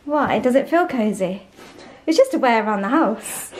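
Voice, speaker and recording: A woman's voice: a little high, lively intonation, moderate pace, background noise. A woman chatting casually and affectionately to her vlog audience. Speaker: vlogger, host of a personal channel.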